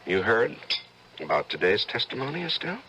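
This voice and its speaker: An actor playing a brooding, tormented writer and husband who may be a killer, in theatrical old-time radio drama style. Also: male voice, mid-range voice, very animated, fairly quick, pushed and bright, muffled recording, slight background noise. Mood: darkly taunting.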